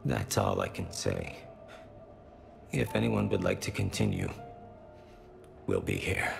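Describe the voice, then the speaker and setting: An actor playing a grieving young alien son in a video game, solemn and courteous, with a gravelly voice and formal, halting phrasing. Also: male voice, huge pitch swings, soft background music, full character voice. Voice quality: gravelly, very low voice. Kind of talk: video game cutscene acting.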